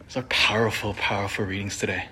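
Priest preaching, measured and emphatic man. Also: on the low side, level tone, sharp tone, slight background noise. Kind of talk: scripture reading and conversational homily.

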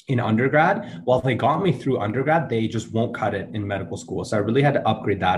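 A man's voice: fairly low pitch, slight background noise. A young man whose first language is Urdu, answering interview questions in an earnest, casual way with many ums and restarts. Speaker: interview guest, medical student.

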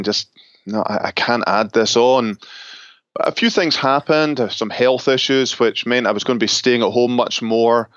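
A middle-aged man with a Scottish accent speaks in an easy, reflective way, pausing and restarting now and then. The recording is close and dry, with a slightly muffled, dull top end. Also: medium pitch, fairly bright tone, clear, resonant tone, no room sound. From Scotland. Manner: thoughtful, with small laughs and restarts. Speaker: pastor and seminary professor. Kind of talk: relaxed interview conversation.

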